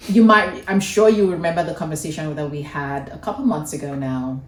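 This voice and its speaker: A woman's voice: low voice, a little fast. A woman hosting an interview with a friend, framing long, affirming questions. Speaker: host. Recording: echoey room from a distance.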